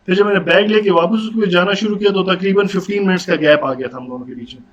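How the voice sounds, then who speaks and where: A man's voice: sits high, quick pace. A man with a Pakistani accent giving a casual, conversational product review, mixing English words into his speech. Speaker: motorcycle vlogger reviewing gear. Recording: dull audio with background noise.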